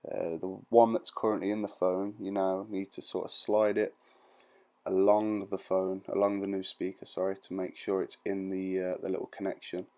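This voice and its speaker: Low, creaky-voiced man giving instructions. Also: level tone, normal speed, dark tone. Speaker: repair tutorial presenter. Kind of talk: informal step-by-step instructional voice-over.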